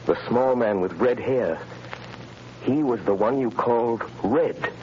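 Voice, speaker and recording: A man playing a mysterious invisible crime fighter, speaking in measured, authoritative commands in 1930s radio drama style. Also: mid-range voice, dull audio, lightly acted. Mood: intent. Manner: measured, hypnotic, authoritative instructions. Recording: scratchy, hissy 1930s radio broadcast.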